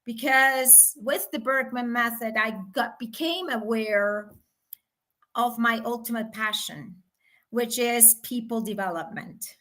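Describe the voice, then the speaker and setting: A middle-aged woman from Colombia, a guest consultant in a live-streamed interview, speaking thoughtfully and pausing often with fillers. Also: a little high, pushed and bright.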